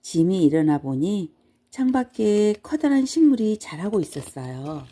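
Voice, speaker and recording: A woman narrating a children's bedtime storybook in a measured storytelling manner. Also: medium pitch, sing-song, warm tone.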